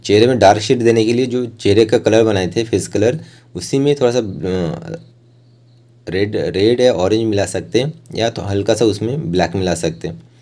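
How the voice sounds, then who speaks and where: An Indian man teaches in a low-pitched voice with a dark, relaxed tone. He narrates each step informally, pausing between short phrases as he goes. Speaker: rangoli art tutor.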